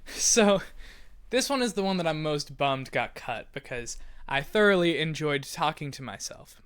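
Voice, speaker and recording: A man's voice: mid-range voice, pushed and bright, clear, resonant tone, dry recording. A man speaking as a podcast writer and host, giving casual explanatory commentary.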